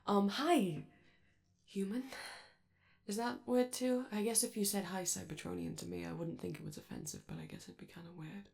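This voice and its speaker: A woman playing a friendly, awkward young male robot in a soft ASMR roleplay, with a creaky voice and a hesitant, rambling, apologetic delivery. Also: medium pitch, sing-song, normal speed.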